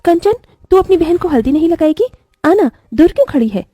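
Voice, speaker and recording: Woman playing a high-voiced, protective young bride. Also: animated, fairly quick, warm tone, dry recording, clean studio sound, soft background music. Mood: sweet. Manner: earnest, pleading. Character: kind, protective young bride, loyal to her stepsister.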